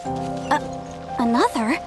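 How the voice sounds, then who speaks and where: A high-voiced, earnest investigator. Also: female voice, animated, slow pace. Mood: puzzled, recalling. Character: earnest, thoughtful woman investigating an ancient sealed mystery. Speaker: game character voice actor. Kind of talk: scripted game dialogue. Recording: game music behind the voice.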